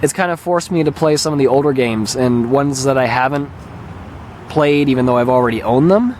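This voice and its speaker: A man with a mildly hoarse, slightly raspy voice, talking casually and hesitantly as he rambles through a vlog as its host. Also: medium pitch, fairly quick, darkish tone, slight background noise. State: mildly hoarse, recovering throat. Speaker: video channel host and gamer. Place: open lakeshore beach outdoors. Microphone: handheld camera held by the speaker.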